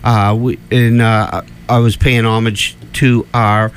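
A man's voice: fairly low pitch, level tone, takes it slowly, a little hiss or hum. A man with a Boston accent hosting a radio show, rambling and laughing as he corrects himself in casual banter. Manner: giggly, rambling, self-correcting. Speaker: radio host.

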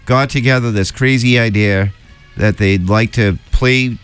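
Chatty Boston radio host, smooth voice. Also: male voice, medium pitch, measured pace, faint music behind. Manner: relaxed, chatty, laughs easily. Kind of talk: casual radio interview.

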